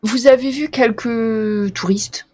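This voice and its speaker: A woman's voice: fairly high pitch, steady tone, slow pace, a little mellow. A woman narrating as game master of a tabletop role-playing game, speaking casually with frequent restarts and fillers.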